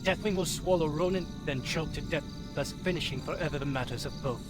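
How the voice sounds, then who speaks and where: A disdainful male wizard played by an actor with a raised pitch. His delivery is dry and formal, over loud fantasy game music. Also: brisk, natural voice. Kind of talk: scripted fantasy game cutscene acting.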